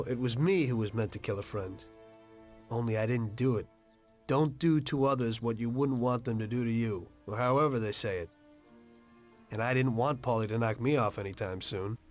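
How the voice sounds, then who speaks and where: Video game mobster played by a man: American, low-voiced, weary, streetwise, wry storyteller over background music. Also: natural voice. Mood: wry, reflective. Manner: plain-spoken, wry. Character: weary streetwise American mobster, former taxi driver, conscientious. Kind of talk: dramatic video game dialogue and confessional narration.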